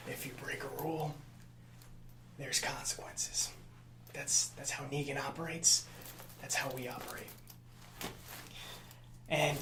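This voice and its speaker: A man playing a nice, easily hurt assistant to a gang leader in an ASMR roleplay, speaking softly with hesitant, placating stammers. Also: a little high, echoey room, a little hiss or hum. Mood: concerned, matter-of-fact.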